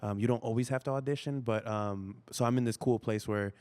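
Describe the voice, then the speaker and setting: Easygoing man with a smooth voice. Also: medium pitch, fairly even intonation, fairly quick, darkish tone. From United States. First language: Spanish. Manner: easygoing, lots of "you know" and "um" fillers. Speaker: actor, podcast guest. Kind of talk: casual interview chat.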